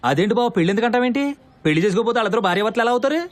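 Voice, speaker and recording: Nosy grumbling relative, high voice, very fast chatter, fussy, film comedy. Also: male voice, expressive. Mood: indignant. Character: nosy, chattering, grumbling wedding guest from groom's side.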